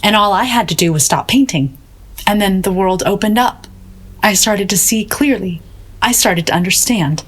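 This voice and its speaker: An actress playing a gloomy, bewildered painter in a comic audio drama, hesitant and naively deadpan. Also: female voice, medium pitch, normal speed, slightly rough, natural voice. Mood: dreamy. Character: gloomy, bewildered, self-doubting woman painter.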